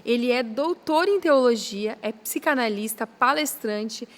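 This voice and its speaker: A woman with a gaúcho accent from southern Brazil, hosting a podcast interview in a lively, chatty way. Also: on the high side, unhurried. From Rio Grande do Sul, Brazil. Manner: lively, chatty, warmly admiring of the guest. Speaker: podcast host.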